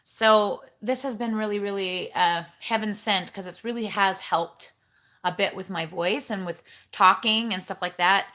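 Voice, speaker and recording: A chatty woman hosts a casual livestream from her home and greets viewers one by one. Her voice is bright and forceful, at a middle pitch, and she rambles in a friendly, bubbly way. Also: a little slow. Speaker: livestream host. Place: home kitchen.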